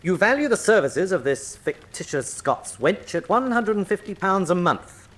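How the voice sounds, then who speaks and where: An actor playing a suave, menacing colonel in a radio drama, articulate and mockingly courteous. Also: male voice, sits high, sing-song, normal speed, a bit bright, full character voice. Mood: mockingly polite. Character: suave menacing colonel, a crime lord's lieutenant.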